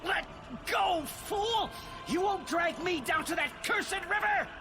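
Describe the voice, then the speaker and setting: An actor playing a desperate, abusive doomed man in a video game, shouting frantically. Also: extremely high pitch, slow pace, music in the background. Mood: panicked.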